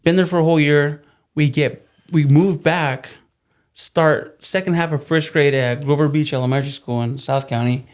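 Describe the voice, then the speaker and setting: A man's voice: on the high side, steady tone, moderate pace. A man whose first language was Spanish, a podcast guest chatting casually and rambling with lots of fillers and restarts. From California. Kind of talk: casual interview chat.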